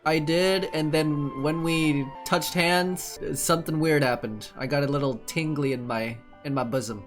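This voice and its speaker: A man's voice: on the high side, measured pace, faint music behind. A man giving casual playthrough commentary as a gaming streamer, reacting aloud and musing in questions.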